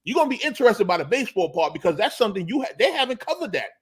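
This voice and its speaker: A man's voice: high-pitched, big pitch swings, very fast, husky. A man from Harlem with a New York accent, hosting a casual interview and asking questions in an easygoing way. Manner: laid-back, asks questions, peppers lines with "you feel me". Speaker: podcast host.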